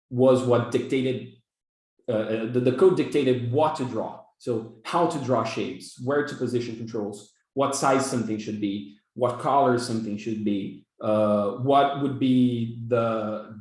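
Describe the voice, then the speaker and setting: A man's voice: on the low side, a little mellow. A young man from Brazil with a Brazilian Portuguese accent, teaching a workshop over a video call and stumbling through fillers and repeated words. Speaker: workshop instructor. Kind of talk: live coding workshop lecture. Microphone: video call webcam. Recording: echoey room on a video call.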